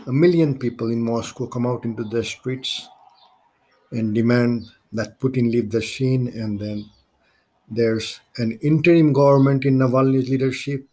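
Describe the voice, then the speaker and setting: A man from Nepal with a Nepali accent hosts a solo podcast, speaking in halting, deliberate phrases. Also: medium pitch, darkish tone. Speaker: podcast host.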